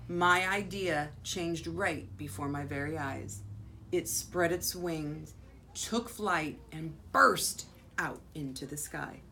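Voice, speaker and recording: An American woman, a school principal, reading a children's picture book aloud expressively, with dramatic pauses and drawn-out words. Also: deep voice, creaky, sharp tone. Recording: faint background noise.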